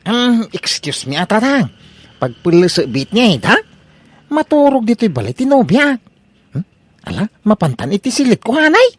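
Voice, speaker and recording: A man's voice: sits high, very animated, moderate pace, husky, dry recording, heavily acted. A man with an Ilocano Filipino accent playing a reluctant, girl-chasing grown son in a comedy radio drama. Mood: casual, breezy.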